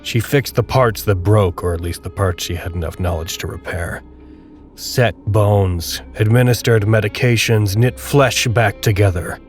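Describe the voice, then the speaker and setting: A man narrating a dark audio drama in a measured, literary, grave and detached manner. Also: lowish voice, soft background music, lightly acted. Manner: measured, literary, solemn storytelling.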